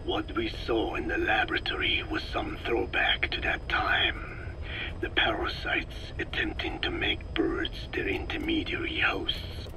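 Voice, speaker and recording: An actor playing an old, grave scientist in a video game, lecturing formally and slowly in a breathy, whispering rasp. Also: male voice, on the low side, poor audio. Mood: grave, measured. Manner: slow, measured, formal lecturing. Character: old male parasite scientist, grave, weary and erudite. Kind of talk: dramatic video game dialogue.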